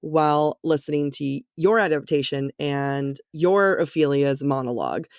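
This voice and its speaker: A woman's voice: sits low, moderate pace, a little mellow, dry recording. Chatty woman co-host with dry, close sound. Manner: rambling, peppered with "like" and "you know". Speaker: podcast co-host. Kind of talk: casual, chatty interview talk.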